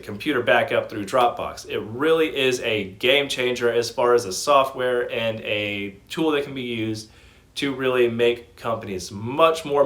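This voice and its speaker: A man's voice: mid-range voice, a bit bright. Enthusiastic man giving informal stock commentary. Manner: enthusiastic, emphatic. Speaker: host of a stock commentary channel.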